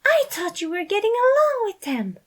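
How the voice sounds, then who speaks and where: Woman playing a high, emotional, soft-hearted man. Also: sing-song, normal speed. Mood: distressed, appalled. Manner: exclaiming, emotional, high-pitched outbursts. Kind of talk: fan fiction character reading.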